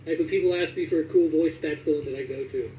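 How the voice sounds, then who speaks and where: High-voiced man interviewing in an echoey room. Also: fast, mellow. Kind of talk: casual interview chat. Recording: roomy echo, distant mic, faint noise.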